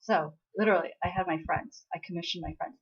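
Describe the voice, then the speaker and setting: A woman's voice: fairly low pitch, fast, slightly rough, muffled recording. An American woman who vlogs about dramas, chatting in a meandering way with lots of fillers. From United States, born in Korea. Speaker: drama vlogger. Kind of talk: casual rambling chat.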